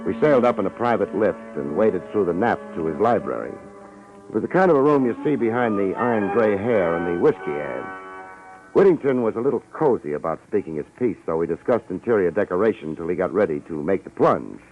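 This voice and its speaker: A world-weary private eye, tough and deadpan, with a lowish voice. He tells his case in hard-boiled wisecracks, in the manner of a 1950s radio drama. The old recording is slightly dull, with music behind. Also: brisk. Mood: wry storytelling. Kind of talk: 1950s radio detective drama acting and narration.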